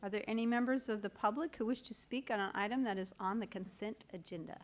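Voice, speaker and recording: A woman chairing a school board meeting, reading formal procedure in a measured, official manner. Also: medium pitch.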